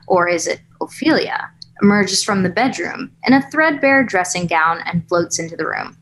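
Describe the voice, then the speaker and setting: A woman's voice: medium pitch, a bit bright, poor audio. A woman reading stage directions as narrator at a screenplay table read, in composed, literary storytelling style.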